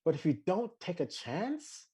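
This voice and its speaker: A man co-hosting a podcast in a casual live chat, talking animatedly with many restarts and high laughing outbursts. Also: big pitch swings, a little slow, darkish tone, husky. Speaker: podcast co-host.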